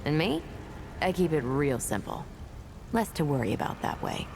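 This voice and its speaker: An actress playing a gruff, prickly weapons tinkerer, an impatient loner in a video game, with blunt sardonic delivery. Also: female voice, low voice, very animated, normal speed, noisy background, exaggerated voice. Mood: irritated, brusque. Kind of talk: video game character dialogue.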